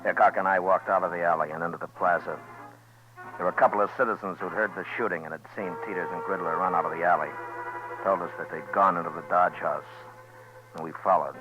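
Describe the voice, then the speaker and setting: Actor as very deep-voiced steady frontier marshal. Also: male voice, lightly acted. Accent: American. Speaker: lead actor and first-person narrator. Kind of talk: 1950s radio western acting and narration. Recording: dull old radio recording, music.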